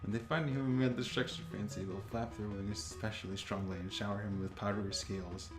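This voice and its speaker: A man's voice: fairly low pitch, steady tone, fast. A young man casually reading aloud and chatting as a jokey co-host. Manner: jokey, given to giggling. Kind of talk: casual chat and read-aloud. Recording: roomy echo with guitar music behind. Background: guitar music.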